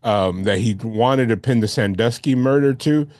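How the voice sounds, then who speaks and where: A man's voice: mid-range voice, moderate pace, darkish tone, dry recording, studio quality. A man co-hosting a podcast, talking movies casually with friends in an emphatic, slangy way. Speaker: podcast co-host. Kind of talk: casual film discussion with friends.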